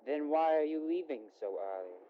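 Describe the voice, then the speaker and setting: A woman's voice: sits low, expressive, normal speed, dull audio, slight background noise. A woman playing a genial, teasing businessman in a radio play.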